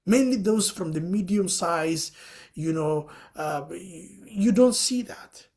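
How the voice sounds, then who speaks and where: A man's voice: sits high, lively intonation, slow, a little mellow. A man from Somalia, speaking as a panelist in a webinar discussion. He explains his points earnestly and fills his sentences with "you know".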